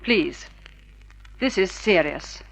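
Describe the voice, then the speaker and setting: An actress playing a poised, polite and serious wife in an old radio drama. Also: female voice, on the low side, lively intonation, slow pace, vocal fry, fairly bright tone, full character voice. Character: poised, exasperated wife seeking a detective's help. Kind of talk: mid-century radio drama acting. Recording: muffled vintage radio drama recording.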